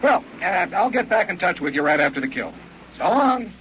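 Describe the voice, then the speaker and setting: An excitable, restless hunter from a science fiction radio play. His voice sits fairly high and swings widely. The sound is a dull vintage radio recording. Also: brisk, heavy background noise. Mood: keyed up. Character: eager, high-strung licensed hunter in future society. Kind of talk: old-time radio drama acting.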